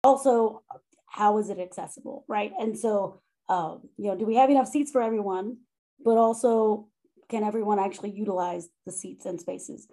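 A woman with a loud voice who talks through her teaching practice in a conversational interview, often pausing, repeating words and checking in with "right?". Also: medium pitch. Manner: thoughtful, self-correcting, frequent "right?" and "um". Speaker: faculty member and monograph author.

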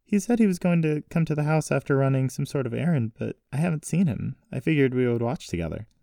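Audiobook role: reassuring, earnest uncle, acted by a man, pitch nudged up, far from the mic. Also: lively intonation, quick pace, gravelly, mellow, studio quality. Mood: easygoing. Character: gentle, protective, reassuring uncle. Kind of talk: audiobook character dialogue. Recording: roomy echo, distant mic.

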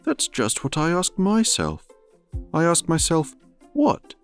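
A man voicing a slow, earnest, muddled male teddy bear in a storybook reading, speaking in a ponderous, mysterious, solemnly puzzled way. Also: fairly high pitch, wildly swooping pitch, faint music behind, pitched above the performer's own voice, exaggerated voice. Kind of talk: storybook character voice.

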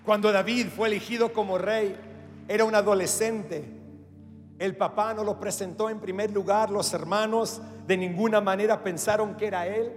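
A man's voice: sits high, steady tone, moderate pace, in a large hall, faint music behind. A man from Australia whose first language is English, preaching a sermon with an Australian English accent, emphatic and repetitive, with direct appeals to the listeners.

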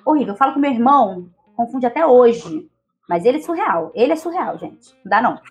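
A Brazilian woman in her twenties, a guest telling her own story in a relaxed, chatty way. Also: fairly high pitch, brisk, faint music behind. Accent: Brazilian Portuguese. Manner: chatty, leans on tag questions. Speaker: interview guest. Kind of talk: casual personal storytelling.

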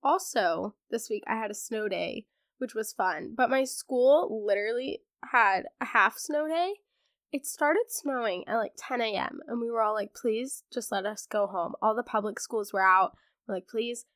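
Teenage girl, congested voice, high-ish pitch, sarcastic casual podcast chat, dry close sound. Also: normal speed, a bit bright, no echo. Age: teens. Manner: chatty and sarcastic, many fillers. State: congested, recovering from laryngitis. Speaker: podcast host.

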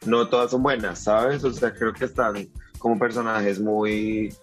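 Male podcast co-host, hoarse and congested, campy banter. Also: fairly low pitch, level tone, unhurried, faint music behind. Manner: campy, playful, uses feminine slang. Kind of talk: casual podcast chat.

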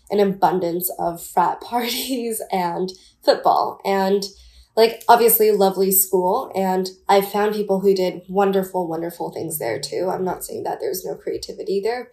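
A woman's voice: lowish voice, darkish tone. A woman in her twenties from Taiwan, a podcast guest chatting casually with many "like" and "um" fillers. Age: 20s.

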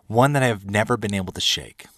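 Low-voiced man in his thirties, earnest storyteller. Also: fast, fairly bright tone, no room sound, studio quality. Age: 30s. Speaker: podcast host. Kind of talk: personal storytelling monologue, partly scripted.

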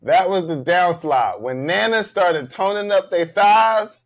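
Casting note: male comedian host, slightly high-pitched voice, relaxed slangy banter. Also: unhurried. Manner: loose, joking, slangy delivery. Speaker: podcast host. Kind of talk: casual comedic chat.

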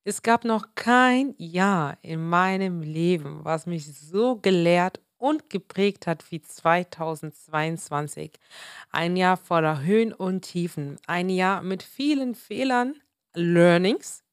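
A woman in her twenties hosting a motivational solo podcast, slightly hoarse and a bit under the weather, speaking earnestly and with emphasis. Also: on the low side, expressive, slow pace, no echo, studio quality. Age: 20s. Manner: earnest, emphatic, mixes in English words. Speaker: podcast host.

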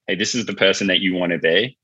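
A man's voice: low voice, quick pace, pushed and bright, breathy edge, no room sound, dull audio. A man speaking as an interview guest in a casual, informal way, explaining things as he thinks aloud. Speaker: podcast guest, marketing agency founder.

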